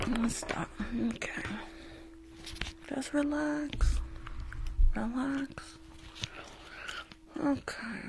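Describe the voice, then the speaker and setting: A woman acts out a rude New York school nurse for an ASMR roleplay. She whispers slowly with a gritty creak, impatient and gum-chewing, repeating curt little instructions right at the mic. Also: a little high. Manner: brusque, repetitive, mock-soothing, complaining. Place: small bedroom. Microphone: close furry-covered condenser microphone.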